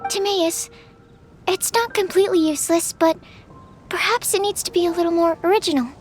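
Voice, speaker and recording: Gentle young female scholar for a game: extremely high pitch, earnest, apologetic, faint music behind. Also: a little fast. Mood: earnest, calm. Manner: soft-spoken, polite, apologetic, explanatory. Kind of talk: video game voice acting.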